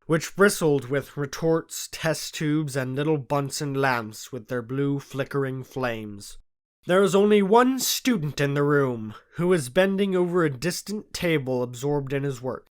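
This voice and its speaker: Lively amateur storybook narrator. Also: medium pitch. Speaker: audiobook reader.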